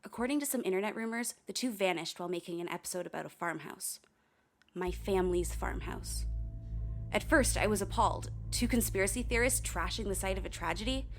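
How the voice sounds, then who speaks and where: A woman's voice: medium pitch, brisk, soft background music, lightly acted. An actress playing a blunt, sardonic female coast guard officer who narrates her own investigation in a radio drama. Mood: dry, uneasy. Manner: dry, curt, sardonic.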